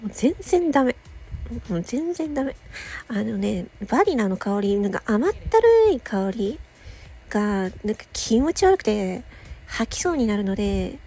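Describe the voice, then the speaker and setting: A woman hosting a casual solo audio show, chatting playfully with self-mocking asides and a husky, slightly creaky voice. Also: fairly high pitch, very animated, moderate pace, mellow.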